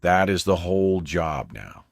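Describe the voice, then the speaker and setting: A man playing a grave radio news anchor in an apocalypse drama, speaking solemnly and deliberately in halting phrases. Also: deep voice, very animated, creaky, no room sound, studio quality, full character voice. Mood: gentle, steadying. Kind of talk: dramatized breaking news broadcast.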